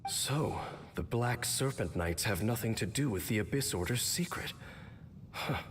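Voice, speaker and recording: A low-voiced, stoic and mysterious game warrior, aloof and world-weary. He speaks with grave, measured formality in a long cavernous reverb, over loud ambient noise. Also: animated. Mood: pondering. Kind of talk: video game voice acting.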